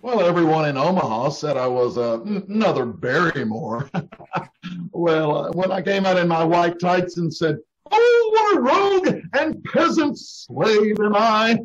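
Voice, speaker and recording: A man's voice: high-pitched, sing-song, measured pace, exaggerated voice. A man playing a pompous, hammy announcer who declaims grandly like a Shakespearean actor in old-time radio comedy. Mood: boastful. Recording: dull online call recording.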